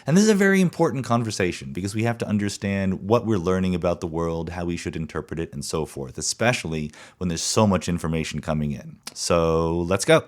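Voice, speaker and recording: A man with a fairly low voice hosts a podcast. He speaks informally and conversationally, with a curious manner, talking his way through ideas and questions. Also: lively intonation, a little fast, hardly any pauses. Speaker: podcast host and interviewer. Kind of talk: casual conversational interview.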